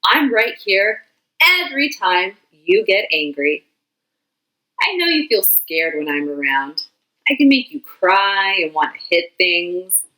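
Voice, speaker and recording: A woman voicing a hairy red creature that embodies anger, speaking gently and reassuringly. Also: mid-range voice, big pitch swings, slow pace, full character voice, rough recording.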